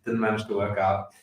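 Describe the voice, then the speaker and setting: A man with a South African accent hosting a casual podcast chat, relaxed and chatty with lots of fillers. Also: lowish voice, reverberant, muffled recording. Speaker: podcast host.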